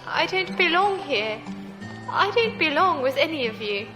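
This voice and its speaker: A self-doubting female runaway in a sci-fi audio drama. Her voice is high, and she talks slowly and haltingly in a timid way. Music plays behind her. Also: big pitch swings. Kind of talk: science fiction audio drama acting.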